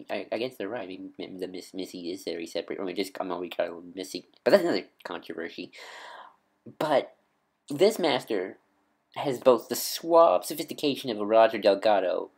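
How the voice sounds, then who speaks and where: Rambling low-voiced man. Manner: rambling, many restarts, self-correcting. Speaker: video host. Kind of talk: casual rambling fan commentary.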